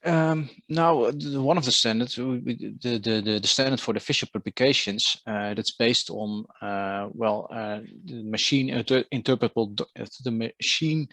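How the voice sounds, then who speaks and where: A man gives a technical explanation in a hesitant, halting way, often repeating words. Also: medium pitch, a bit bright, no room sound. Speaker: guest speaker. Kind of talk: technical discussion.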